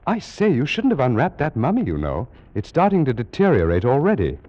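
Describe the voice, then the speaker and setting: Animated, mellow-voiced scholarly gentleman. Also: medium pitch, a little fast. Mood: incredulous. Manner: dramatic, stammering when startled. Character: timid, astonished gentleman student of Egyptology. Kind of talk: old-time radio drama narration and dialogue. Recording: muffled old radio recording.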